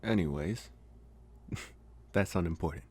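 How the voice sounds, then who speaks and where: A man playing a gentle, lonely young college vampire in an intimate ASMR roleplay, speaking softly and haltingly with long pauses. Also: low-pitched, husky, heavily acted. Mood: wistful, reserved.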